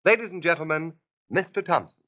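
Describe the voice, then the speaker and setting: A man's voice: fairly high pitch, a little fast. A man announcing a 1930s radio program from a script in a formal, polished manner. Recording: dull 1930s radio recording.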